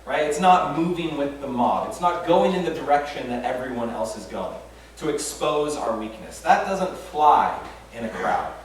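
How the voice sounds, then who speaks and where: A man's voice: medium pitch, recorded from a distance, slight background noise. A man preaching a casual, conversational sermon at a church retreat, with lots of fillers and self-deprecating humor. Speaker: pastor. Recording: very echoey room, voice from afar.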